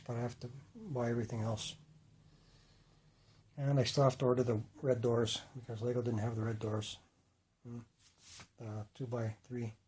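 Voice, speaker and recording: A man with a rough voice, casually rambling and correcting himself while he shows his model city. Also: mid-range voice, dark tone. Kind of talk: casual talk-through.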